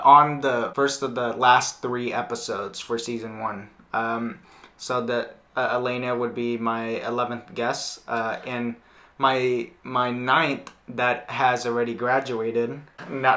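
A young American man in his late teens hosting a casual podcast interview, speaking haltingly with stammered repeats. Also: mid-range voice, pushed and bright. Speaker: podcast host. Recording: roomy, echoing indoor sound.

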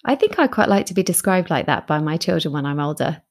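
A woman's voice: deep voice, expressive, quick pace. A woman hosting a podcast interview, asking encouraging questions and teasing her guest in a friendly way. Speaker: podcast host.

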